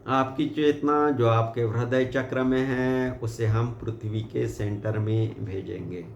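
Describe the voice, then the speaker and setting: A man with an Indian accent leading a guided meditation, giving calm, unhurried and gently directive instructions. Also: medium pitch, gravelly. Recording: muffled, roomy sound with background hiss.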